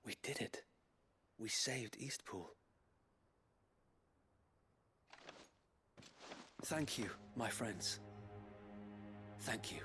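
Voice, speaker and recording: A man's voice: mid-range voice, slow, sharp tone. A man voicing a loyal knight in a game, formal, earnest and deferential. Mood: weary relief. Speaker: video game character. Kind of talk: dramatic game voice acting. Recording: faint game music behind. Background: game music.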